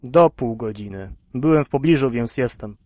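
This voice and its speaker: A man's voice: mid-range voice, normal speed, warm tone, no room sound, clean studio sound, close to an everyday voice. A man playing a blunt, grumbling taxi driver in his thirties for an audio drama. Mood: brusque.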